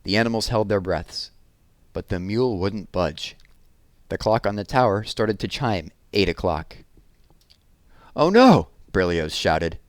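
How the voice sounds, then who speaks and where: A man's voice: deep voice, a little slow, no echo, clean studio sound. A man narrating a children's picture book as a read-aloud. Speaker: storybook reader.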